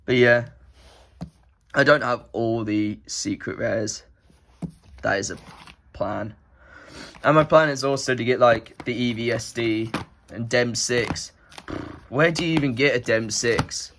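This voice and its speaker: Young man, nasal with a cold, casual rambling delivery, mid pitch. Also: a little slow, long pauses, fairly bright tone. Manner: rambling, many "like" fillers. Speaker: card collector vlogger. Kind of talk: casual rambling show-and-tell.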